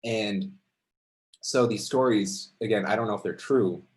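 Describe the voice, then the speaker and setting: A man telling an unscripted personal story to an audience in a halting, conversational, reflective way. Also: fairly low pitch. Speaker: amateur storyteller at a story slam.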